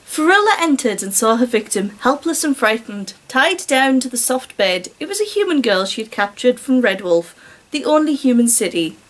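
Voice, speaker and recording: A woman's voice: on the high side, big pitch swings, normal speed. A woman reading a story aloud and breaking off for casual, sarcastic commentary. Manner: wry, sarcastic asides.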